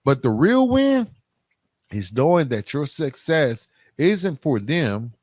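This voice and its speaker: A man hosting a podcast, telling a motivational story emphatically with dramatic pauses. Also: a little high, sing-song, a little mellow, dry recording, clean studio sound. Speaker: podcast host.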